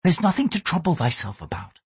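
A man's voice: high-pitched, big pitch swings, a little fast, husky, no room sound, voice pushed up, exaggerated voice. A man reading aloud, voicing a poised young Japanese noblewoman with a formal, archaic way of speaking. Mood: heated. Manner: formal, archaic thee-and-thou speech, apologetic "so sorry".

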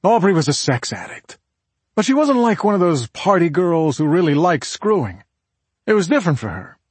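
A man's voice: fairly high pitch, very animated, normal speed, breathy edge, no echo, voice pushed up. A man voicing a blunt, crude, hot-tempered husband in an audiobook crime story. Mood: matter-of-fact.

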